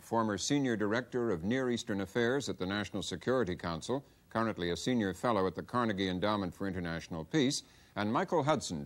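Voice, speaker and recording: Middle-aged male anchor, low-pitched, measured formal read in a studio. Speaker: news anchor. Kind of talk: scripted news reading and interview questions. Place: television news studio.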